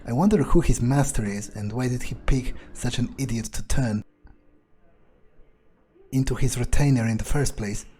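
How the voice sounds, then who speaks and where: Mellow-voiced man muttering scornfully. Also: mid-range voice, normal speed, long pauses, breathy edge. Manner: disdainful, hesitant muttering, heavy swearing. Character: cynical, scornful male vampire. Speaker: gamer playing in character. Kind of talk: in-character gameplay monologue, thinking aloud.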